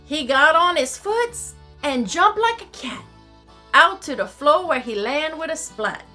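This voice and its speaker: A woman voicing a folksy, excitable Cajun storyteller, reading rhymed storybook verse theatrically in a singsong dialect. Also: high-pitched, a little slow, faint music behind, voice pushed up, heavily acted. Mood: animated, amused.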